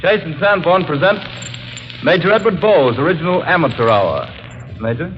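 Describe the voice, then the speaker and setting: A man's voice: on the high side, animated, moderate pace, soft background music. A man introducing a show with the stately, formal delivery of old-time radio. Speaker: radio announcer. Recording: muffled vintage radio recording.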